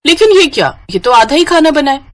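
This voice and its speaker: Woman voicing a high-pitched bossy young in-law. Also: sing-song, brisk. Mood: smug, bossy. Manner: pointed, instructing. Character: bossy, demanding young in-law woman, smugly laying down rules. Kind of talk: Hindi moral-story cartoon voice acting.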